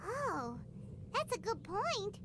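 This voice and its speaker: A woman voicing a tiny, childlike floating fairy companion in a video game, naive and impatient, with a squeaky voice. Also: very animated, takes it slowly, full character voice. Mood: curious. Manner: speaks of self in third person. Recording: game audio with loud background music.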